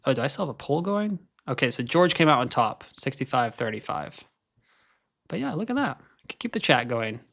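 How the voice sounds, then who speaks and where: A man's voice: medium pitch, big pitch swings, brisk, gravelly, a bit bright. A young man with an American accent, born in Scotland, hosting a casual live stream and chatting while he watches qualifying. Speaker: livestream host.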